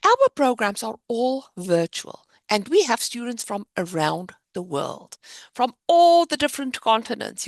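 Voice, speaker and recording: A woman's voice: fairly high pitch, vocal fry, no room sound. A woman with a South African accent, founder of a finance program, giving an upbeat, emphatic presentation on a video call. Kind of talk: virtual fair presentation.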